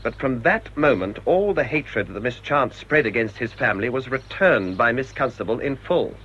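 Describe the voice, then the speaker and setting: An actor playing a bitter English village priest in a radio drama, with a dry, grumbling way of talking. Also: male voice, mid-range voice, expressive, normal speed, a bit bright, lightly acted. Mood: confiding. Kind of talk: British radio drama acting. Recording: dull, noisy old radio drama recording.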